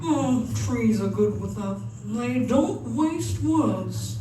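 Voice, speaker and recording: A woman voicing a kindly talking male turtle, wise and dreamy, with a drawn-out, reassuring way of speaking in a fairy tale. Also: on the high side, lively intonation, recorded from a distance. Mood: calm, unhurried. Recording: very echoey room with noise.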